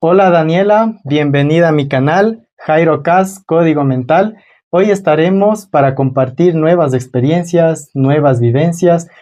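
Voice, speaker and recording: Dark, relaxed male interviewer. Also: on the high side, measured pace. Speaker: interviewer, channel host. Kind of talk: conversational interview hosting.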